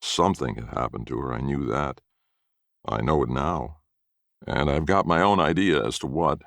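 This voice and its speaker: A man's voice: rumbling low, normal speed, vocal fry, a bit bright, no room sound. A man reading a first-person story as narrator in a folksy storytelling manner.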